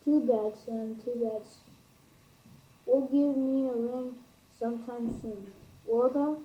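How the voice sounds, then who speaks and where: A woman's voice: fairly high pitch, steady tone, slow. Young woman playing chummy Yorkshire King, muffled recording. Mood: folksy, regal. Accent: Yorkshire dialect, acted. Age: teenager. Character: folksy, chummy male King speaking broad Yorkshire. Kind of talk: amateur student radio play acting.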